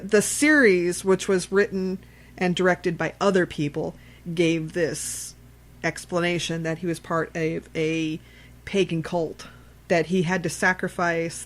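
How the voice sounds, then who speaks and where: A woman co-hosting a podcast in casual conversation, blunt and salty-tongued, retelling things with animation and repeated phrases. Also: fairly low pitch. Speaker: podcast co-host. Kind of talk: casual film discussion.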